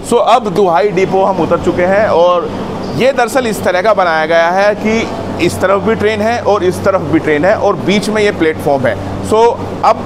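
Middle-aged male travel vlogger, higher-set voice, conversational and demonstrative, clearly noisy transit backdrop. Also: moderate pace. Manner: conversational, demonstrative, addresses viewers directly. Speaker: vlog host. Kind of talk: casual explanatory travel vlog. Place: on a moving train and around stations. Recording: clearly noisy train and station ambience. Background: train and vehicle noise.

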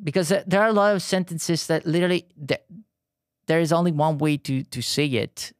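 A man's voice: fairly high pitch, no echo, clean studio sound. Italian man chatting while painting. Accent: southern Italian. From Italy. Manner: halting, frequent restarts and fillers, friendly. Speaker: art streamer. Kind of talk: casual live-stream chat while painting.

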